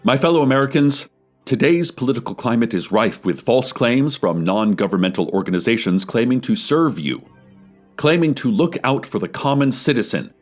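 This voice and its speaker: A man's voice: medium pitch, expressive, normal speed, faint music behind, natural voice. A man voicing a pompous government announcer who reads a public-service message with fervent, rhetorical emphasis. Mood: pompous, preachy.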